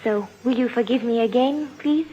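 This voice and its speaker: An actress playing an alluring, romantic and quietly scheming young island woman in a 1950s radio drama. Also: on the high side, moderate pace, dull audio, natural voice. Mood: tender, pleading.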